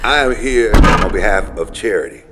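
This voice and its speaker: An actor playing a bitter, hot-headed homeless conspiracy crusader, intense and earnest, in a comedic audio drama. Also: male voice, lowish voice, lively intonation, normal speed, very noisy, close to an everyday voice. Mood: grave, earnest. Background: music.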